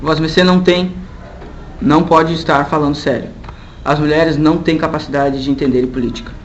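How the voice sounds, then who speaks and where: A man's voice: a little high, steady tone, a little fast, highs cut off, a little hiss or hum, natural voice. Man doing a scornful pompous politician. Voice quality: dismissive, pompous.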